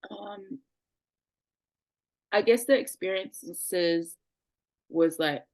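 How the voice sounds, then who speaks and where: A young woman from New Zealand, a guest in a casual video-call interview, speaking softly and hesitantly with many fillers. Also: medium pitch, very slow, dry recording, rough recording.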